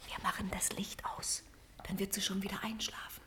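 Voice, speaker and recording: Eine Frau spielt im Hörspiel eine trockene, skeptische Ehefrau, die auf ein Äffchen eifersüchtig ist und spöttisch stichelt. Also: female voice, medium pitch, flat delivery, a little fast, a bit bright, whispered, natural voice. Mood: hushed. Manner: sardonic, needling. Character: dry, sceptical wife jealous of a pet monkey. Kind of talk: German radio play acting.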